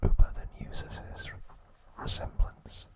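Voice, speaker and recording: Man whispering slowly, ASMR style. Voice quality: breathy unvoiced whisper. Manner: slow, hushed, halting short phrases. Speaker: ASMR host. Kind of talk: whispered ASMR episode recap.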